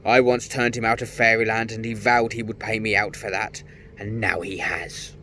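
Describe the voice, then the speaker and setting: A man's voice: fairly low pitch, flat delivery, moderate pace, pushed and bright, slight background noise. A man voicing an angry fairy lord scolding children in a storybook reading. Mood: raging. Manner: raging, scolding.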